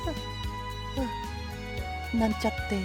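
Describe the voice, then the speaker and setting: A man voicing a cheerful, playful young male knight in an anime voice drama, with a bright, joking manner. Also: unhurried, lightly acted. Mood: flustered joking. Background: music.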